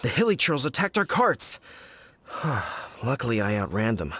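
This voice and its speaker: A man's voice: mid-range voice, huge pitch swings, unhurried, fairly bright tone, husky, dry recording, exaggerated voice. A man voicing a flustered, indignant village fruit merchant in a video game, exasperated and dramatic. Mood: shaken. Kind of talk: animated video game voice acting.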